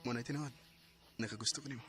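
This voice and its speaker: A man's voice: medium pitch, steady tone, racing, background noise, natural voice. An actor playing an eager young male suitor with a Cebuano Filipino accent, coaxing and earnest in a radio drama. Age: young adult. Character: young male suitor, formerly naughty, eager and sweet-talking.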